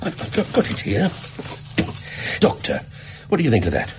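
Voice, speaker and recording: A man's voice: a little high, wildly swooping pitch, normal speed, noisy background. An actor playing an earnest, plain-spoken Victorian English shopkeeper in a British radio drama, indignant as he labours through his story. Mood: plaintive.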